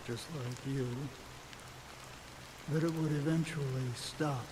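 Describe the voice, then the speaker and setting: An actor voicing a world-worn older male ranger in a game cutscene, speaking slowly and reflectively with quiet resignation. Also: on the high side, fairly even intonation, background noise. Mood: weary. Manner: slow, reflective, heavy with resignation. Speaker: game character. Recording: game audio with rain falling behind.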